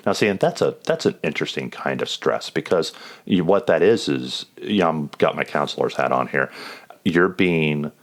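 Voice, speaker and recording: A man's voice: extremely low pitch, animated, moderate pace. A man hosting an informal, reflective interview as a chaplain and counselor, asking questions conversationally. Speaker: podcast host, police chaplain and counselor.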